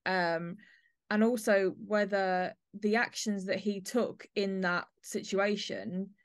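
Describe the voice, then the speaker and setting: A woman hosting a podcast, discussing drama in a measured, analytical way. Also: medium pitch, fairly even intonation, smooth, dry recording, clean studio sound. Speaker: podcast host. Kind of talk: discussing an audio play.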